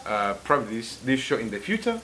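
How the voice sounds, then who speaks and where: A man's voice: medium pitch, big pitch swings, quick pace, bright, forceful tone, low-quality recording. A man with a Ugandan accent hosting a comic interview in a playful, teasing manner. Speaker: satirical news show host.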